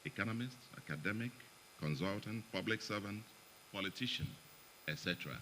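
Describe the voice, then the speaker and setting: A man's voice: fairly low pitch, lively intonation, brisk, sharp tone, slight background noise, rough recording. A middle-aged man with a Nigerian accent, speaking to an audience at a forum in a chatty way with joking asides. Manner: chatty, joking asides, chuckles between remarks. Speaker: guest speaker at an economic forum. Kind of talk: public speech, partly read. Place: event hall, in front of an audience.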